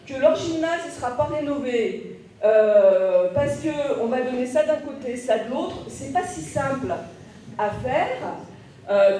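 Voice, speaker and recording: A woman's voice: high voice, dark tone. A middle-aged woman making a spontaneous political speech at a meeting in a lecture room. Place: lecture room, handheld microphone, far camera. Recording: echoey room, distant, faint noise.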